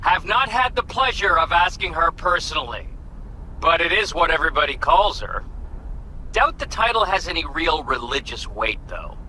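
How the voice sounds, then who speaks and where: A flamboyant underworld fixer man for a video game. His voice is high and piercingly bright, garrulous and slangy, with theatrical flair. Faint noise sits behind him. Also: big pitch swings, moderate pace, full character voice, low-quality recording. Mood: chatty, colourful. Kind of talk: animated video game acting.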